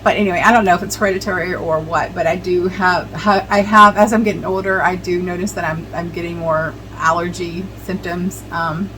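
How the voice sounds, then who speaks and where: A woman's voice: lowish voice, steady tone, fairly quick, hardly any pauses, sharp tone, a little hiss or hum, rough recording. Chatty woman rambling with lots of ums. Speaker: vlogger. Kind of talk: casual chat while preserving herbs.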